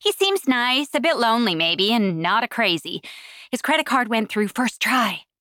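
A brassy, teasing woman who works as a phone dispatcher, played by a female audiobook narrator. She is sassy and pitched fairly high, with a dry, close sound. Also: sing-song, normal speed, pushed and bright, no echo. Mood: playful, reassuring. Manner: sassy, exclaiming.

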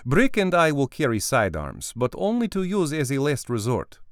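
A man's voice: medium pitch, big pitch swings, moderate pace, no room sound, studio quality, voice pushed up. A man voicing a tattooed female expedition armorer who loves her weapons, speaking eagerly and matter-of-factly. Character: woman, tattooed expedition armorer, gun-loving.

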